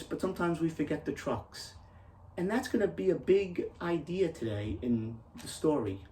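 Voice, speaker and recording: A man's voice: mid-range voice, dark tone, a little hiss or hum. A man speaking as a teacher to schoolchildren in a plain, encouraging way, recorded at some distance from the microphone. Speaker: teacher and read-aloud host. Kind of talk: addressing schoolchildren on video.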